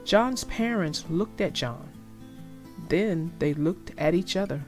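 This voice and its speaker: A woman reading a children's story aloud in a deliberate, expressive storytelling manner, with music behind her. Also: fairly low pitch. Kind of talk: read-aloud storybook narration.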